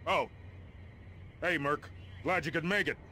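Gruff American army colonel. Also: male voice, on the high side, measured pace, pushed and bright, slight background noise. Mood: brisk, businesslike. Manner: gruff, blunt, wry, folksy. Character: gruff, impatient, wry male army colonel in command. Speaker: army colonel, commander giving mission briefings. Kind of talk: video game character dialogue.

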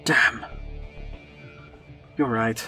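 A performer playing a worried, gentle young man in an audio drama, speaking in dialogue. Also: medium pitch, fairly even intonation, extremely slow, faint music behind. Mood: concerned.

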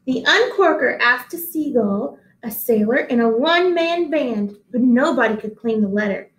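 A woman in her thirties reading a picture book aloud to children, deliberate and expressive, pausing to address listeners at home. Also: a little high. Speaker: read-aloud host, teacher. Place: small indoor office-like room. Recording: echoey small room.

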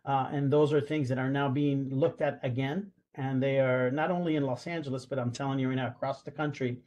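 A man's voice: medium pitch, fairly even intonation, a little fast, a little mellow. A middle-aged man speaking earnestly on a video call panel, with many fillers and restarts. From Guatemala. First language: Spanish. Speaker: panelist, school police association president. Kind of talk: online panel discussion. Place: home or office room, video call. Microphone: video call webcam.

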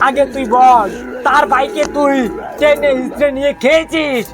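A stern village exorcist from a horror cartoon, played by a man. His voice is extremely high-pitched for a man, forceful and imperious, as he chants and repeats commands. Music plays clearly behind him. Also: steady tone, normal speed. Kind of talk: Bengali horror cartoon voice acting.

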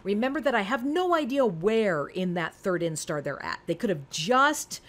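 Female instructor, forties, highly animated delivery, informal and emphatic, walking a learner through material. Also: normal speed. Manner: conversational, emphatic, talks to the learner with rhetorical questions. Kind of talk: informal screen-recorded lesson, explaining step by step.

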